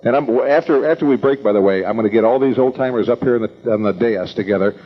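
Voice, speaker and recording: An older American man hosting an evening meeting, introducing speakers informally in a halting, rambling, folksy way. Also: medium pitch, breathy edge. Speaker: event host introducing speakers. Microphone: event microphone. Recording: dull lo-fi event recording.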